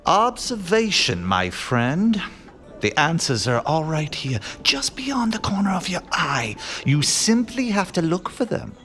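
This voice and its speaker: A man playing a haughty, aloof gentleman detective. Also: on the high side, big pitch swings. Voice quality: haughty, measured, theatrical pauses. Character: aloof, pompous male gentleman detective Hughes. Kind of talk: radio play acting. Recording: faint music behind.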